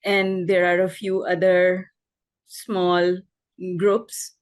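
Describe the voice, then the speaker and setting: A woman's voice: lowish voice, little pitch movement, extremely slow, smooth. Middle-aged woman telling her story, laughing easily. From Pakistan. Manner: warm storytelling, laughs often. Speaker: guest, life coach and author. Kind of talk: conversational podcast interview.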